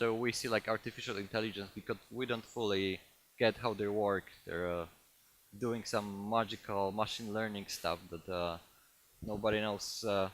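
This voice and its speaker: A man with a Polish accent giving a technical talk to a live audience, halting often with fillers and restarts. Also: mid-range voice, fairly bright tone. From Poland. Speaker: conference speaker.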